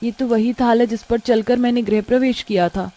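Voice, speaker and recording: Woman voicing a high-pitched, plaintive young bride. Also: fairly even intonation, normal speed, dark tone, lightly acted. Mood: pained wonder. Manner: plaintive, exclaiming, questioning. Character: pained, bewildered, innocent young bride.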